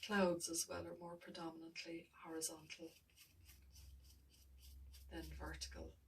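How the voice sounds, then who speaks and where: Softly mumbling woman narrating a painting. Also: fairly low pitch, completely flat delivery, unhurried, far from the mic, a little hiss or hum, low-quality recording. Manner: thinking aloud, mumbling, trailing off. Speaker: art tutor.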